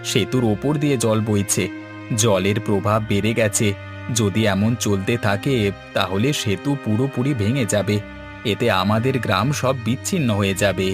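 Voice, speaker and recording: A man's voice: fairly low pitch, normal speed, music in the background, natural voice. A man voicing a concerned, earnest village man who explains things in scripted cartoon dialogue. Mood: worried. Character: concerned village man urging neighbours to work together.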